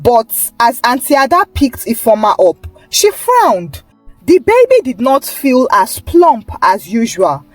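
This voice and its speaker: A woman's voice: a little high, wildly swooping pitch, unhurried, no echo, faint music behind. A woman storyteller reading a scripted folktale narration.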